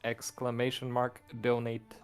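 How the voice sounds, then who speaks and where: A man hosting a charity marathon stream, reading an announcement in a steady, read-aloud way. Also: medium pitch, measured pace. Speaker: marathon host. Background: loud game music.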